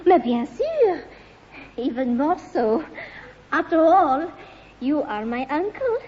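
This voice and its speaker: A woman's voice: sits high, very animated, slow pace, a little hiss or hum, exaggerated voice. An actress playing a romantic, innocent young Frenchwoman with a French accent in a radio sitcom, recorded with dull, reverberant sound. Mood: affectionate.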